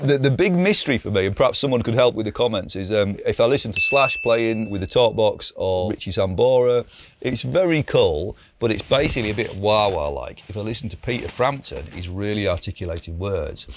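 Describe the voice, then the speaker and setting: A man's voice: fairly low pitch, lively intonation, a little fast, noisy background. A British man presenting a casual gear review in an informal, enthusiastic way. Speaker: presenter demonstrating guitar pedals.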